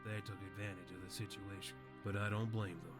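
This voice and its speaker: A man playing a frail, sickly old veteran in a video game, weary, resigned and gentle. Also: on the low side, flat delivery, fairly quick, natural voice. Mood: weary, matter-of-fact. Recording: game music behind the voice.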